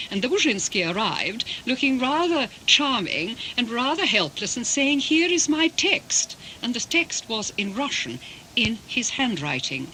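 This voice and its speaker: A woman's voice: mid-range voice, bright, forceful tone, rough recording. Articulate older woman, faint hiss. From Britain. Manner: measured, articulate, with careful restarts. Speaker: interviewee, interpreter. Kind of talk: reflective radio interview storytelling.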